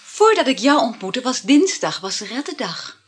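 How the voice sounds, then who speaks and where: A woman's voice: fairly high pitch, big pitch swings, moderate pace, breathy edge. An actress playing a chatty, flirtatious married woman in a Dutch radio play, effusive and full of sighs. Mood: gushing, defensive.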